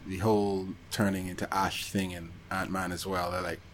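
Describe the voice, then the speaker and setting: A man co-hosting a podcast in casual chat about films, talking in a jokey, slangy, animated way. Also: low-pitched, moderate pace. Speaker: podcast co-host.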